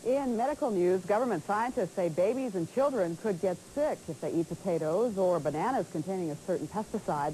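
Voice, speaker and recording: Low, mellow-voiced woman news anchor. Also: rough recording. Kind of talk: scripted news reading. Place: television news studio. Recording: hissy worn VHS broadcast tape.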